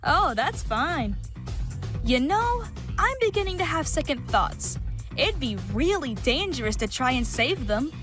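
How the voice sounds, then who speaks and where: A woman voicing a brash, playful young female adventurer in an animated comic dub, over music. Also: high voice, measured pace, exaggerated voice. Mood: sly, teasing.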